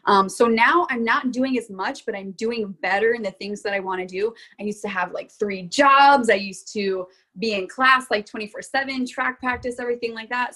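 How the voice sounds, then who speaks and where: A bubbly young woman from Florida chats as a podcast guest. She talks freely and laughs often, with a lively, easygoing way of speaking. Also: mid-range voice. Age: young adult. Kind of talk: casual podcast interview.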